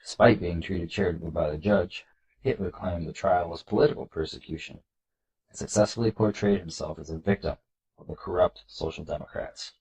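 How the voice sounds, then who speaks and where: A man with a congested, nasal voice from a cold, hosting a video and reading slides aloud in an earnest, measured way. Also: low voice, fairly even intonation, creaky, darkish tone, low-quality recording. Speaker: political video host.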